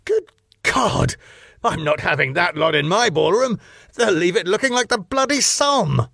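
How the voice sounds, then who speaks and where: A man's voice: high voice, expressive, unhurried, creaky, a bit bright, slightly rough, no room sound, studio quality, voice pushed up, heavily acted. A man voicing a pompous, hostile older ballroom owner who talks down to people and snaps with outrage. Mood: outraged.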